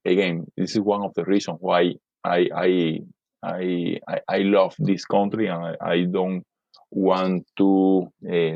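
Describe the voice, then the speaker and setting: A middle-aged man from Cuba with a Cuban Spanish accent, a native Spanish speaker, talking as a podcast guest in halting speech full of fillers and repeated words. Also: low voice, vocal fry, darkish tone, no echo. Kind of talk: casual interview talk. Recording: dull lo-fi dry sound.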